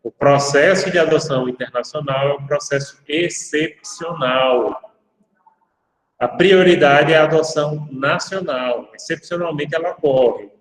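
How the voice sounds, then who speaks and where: A Brazilian man teaching a law class, talking in a fairly high voice for a man. He explains in a roundabout way, drifting into asides and tagging his points with quick questions. His voice comes from some distance off the mic, with long echo trailing after it. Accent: Brazilian Portuguese. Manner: explanatory, digressive, frequent tag questions. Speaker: university law professor. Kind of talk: lecturing a class. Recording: echoey hall-like sound, distant from mic.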